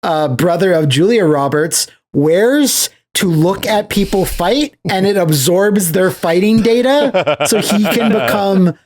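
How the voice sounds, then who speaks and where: A man co-hosting a podcast, casually discussing movies in a halting way, often repeating phrases as he explains. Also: high voice. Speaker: podcast co-host.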